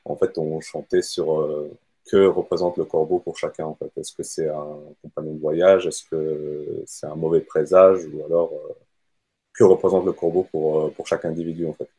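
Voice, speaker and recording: A man's voice: extremely low pitch, level tone, moderate pace, warm tone, breathy edge, rough recording. A man from Kazakhstan, a native Russian speaker, answering interview questions about his band's songs, thoughtful and hesitant with many fillers. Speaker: band musician.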